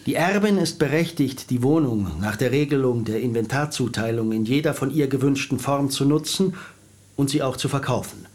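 Casting: male lawyer for radio drama, mid-pitched, measured and officious, courteous, exact with legal phrasing played by a man. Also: darkish tone, lightly acted.

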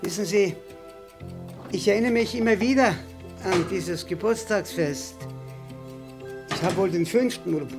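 A nervous, bitter male murderer. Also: high voice. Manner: halting, stammering, self-interrupting. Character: nervous, bitter violinist murderer posing as his brother. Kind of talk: radio play acting.

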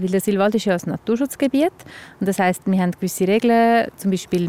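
A woman with a Swiss accent speaks as a forest ranger in an interview. Her tone is mellow and relaxed, at an average pitch, and she explains things in plain, informal speech.

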